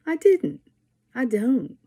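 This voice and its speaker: A woman's voice: high voice, big pitch swings, slow, dark tone. A middle-aged woman poet speaking informally and reading at a poetry event.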